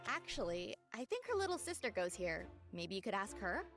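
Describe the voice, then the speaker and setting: A laid-back, friendly magic academy student for an anime game. Her pitch is average but moves around a lot, over clearly audible music. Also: sing-song, fairly quick. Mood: helpful. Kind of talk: anime-style game voice acting.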